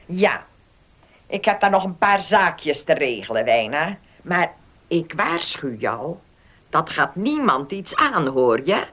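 An actress in a Dutch radio play playing a haughty, sharp-tongued older lady of the manor. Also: sits low, sing-song, slow, heavily acted. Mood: brisk, grumbling. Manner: bossy, snappish.

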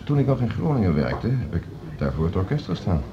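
An actor playing a self-promoting male music teacher, measured and self-assured, in a radio drama. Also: sits low, very animated, noisy background. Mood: self-promoting, angling. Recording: dull recording, background chatter.

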